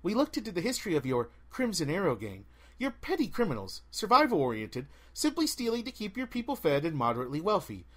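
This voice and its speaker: A man's voice: sits high, wildly swooping pitch, fast talker, full character voice. A man voicing a polite, earnest young woman adventurer who reasons in precise, formal sentences in a fantasy storybook. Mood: calm questioning.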